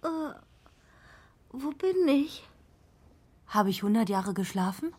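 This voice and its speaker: Bungling, blunt forest fairy, highish voice. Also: female voice, expressive, slow, a little mellow, slightly rough, exaggerated voice. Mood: dazed. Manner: dry, matter-of-fact, theatrical when casting spells. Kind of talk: children's radio play acting.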